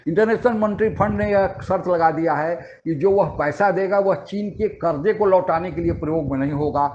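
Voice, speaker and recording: A man's voice: high-pitched, normal speed, a little mellow. Dull-sounding male commentator, background noise. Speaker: commentator and channel narrator. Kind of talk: explanatory political commentary voice-over.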